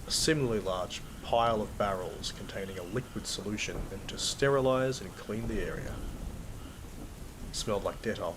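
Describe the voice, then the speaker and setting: A man acts out a gruff Australian cane toad hunter in an audio drama. His voice is low and his delivery blunt and jaded, with clear rain and ambient noise behind him. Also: normal speed, close to an everyday voice. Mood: weary, brooding. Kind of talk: first-person narration and dialogue in an audio drama.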